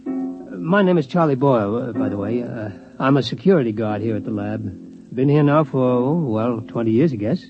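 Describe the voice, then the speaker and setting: An actor playing a timid, folksy old security guard, rambling and hesitant, in 1950s radio drama style. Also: male voice, mid-range voice, lively intonation, moderate pace, close to an everyday voice. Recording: muffled vintage radio recording, faint music.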